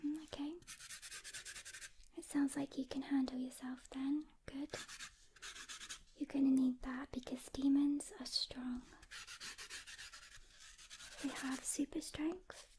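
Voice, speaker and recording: A woman speaking in a hushed, gentle ASMR whisper, unhurried, playing a calm demon hunter who talks directly to the listener. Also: high-pitched, a little hiss or hum. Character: calm experienced female demon hunter briefing a newcomer. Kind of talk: soft-spoken whispered ASMR roleplay.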